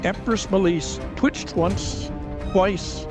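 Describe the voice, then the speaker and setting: A man's voice: high-pitched, steady tone, takes it slowly. A man giving dramatic, scripted narration for a fantasy audio drama. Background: music.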